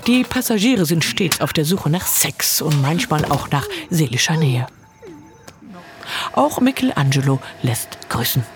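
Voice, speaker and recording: A woman reading a scripted culture report voice-over with an expressive delivery. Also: low-pitched, unhurried, over music.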